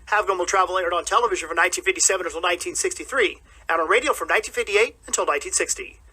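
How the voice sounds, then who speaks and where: A man's voice: high voice, rapid-fire, sharp tone, husky. A man presenting scripted links as a radio host.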